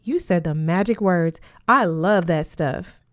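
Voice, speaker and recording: A woman's voice: lowish voice, a little slow, close to an everyday voice. A woman voicing a chatty, easily delighted teenage boy at boarding school, casual and eager.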